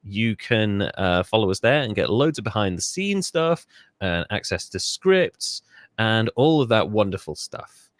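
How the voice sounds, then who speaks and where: Male podcast host, low voice, dry mic, rambling and self-interrupting. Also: big pitch swings, measured pace, dry recording. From England. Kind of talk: casual podcast chat.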